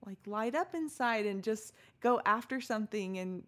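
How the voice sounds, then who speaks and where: A young woman co-hosting a podcast, warmly prompting her friend with questions in casual chat. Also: on the high side, expressive, moderate pace. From California, USA. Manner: warm, encouraging interviewer who prompts her friend. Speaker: podcast co-host.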